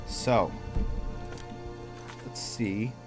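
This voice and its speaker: Low-voiced, unhurried man doing game commentary. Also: fairly even intonation. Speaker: game streamer, host of a roleplay series. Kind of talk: casual gameplay commentary, thinking aloud. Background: game music.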